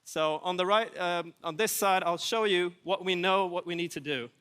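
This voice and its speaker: A man presents to a conference in a high, clean voice. His delivery is casual and dryly wry, and the sound rings with the long reverb of a large hall. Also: moderate pace, fairly bright tone, clear, resonant tone. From Sweden. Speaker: conference speaker.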